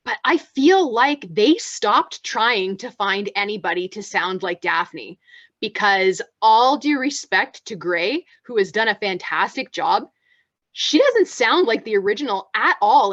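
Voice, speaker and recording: A chatty woman co-hosting a relaxed panel talk, with a low voice she considers masculine, often restarting her sentences. The sound is dry and close, a little muffled. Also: unhurried, dry recording. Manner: chatty, many fillers and restarts. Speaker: podcast co-host. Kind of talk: casual panel discussion.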